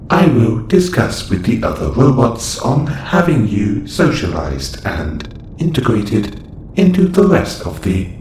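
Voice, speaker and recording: A man acts out a calm, clinical robot caretaker in a science fiction audio roleplay. His deep male voice moves slowly through stilted, precise sentences. The recording is roomy and echoing. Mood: clinical, advisory. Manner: stilted, precise, formal phrasing. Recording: roomy echo, faint background noise.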